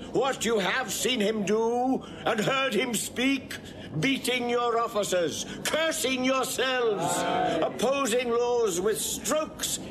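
An actor playing a stern, accusing Roman tribune, proclaiming deliberately in classical Shakespearean drama. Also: male voice, very high-pitched, exaggerated voice. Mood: commanding. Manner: declamatory, deliberate, public proclamation. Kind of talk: classical Shakespearean stage acting. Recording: noisy old recording with crowd.

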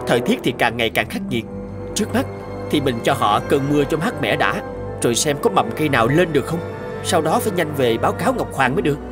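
Concerned old cartoon deity, dutiful and formal, lively intonation over music. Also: male voice, on the high side, fast. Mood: worried. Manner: respectful, formal. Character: earnest old male cloud god, dutiful, concerned. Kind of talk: animated fairy-tale dialogue. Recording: music under the voice.

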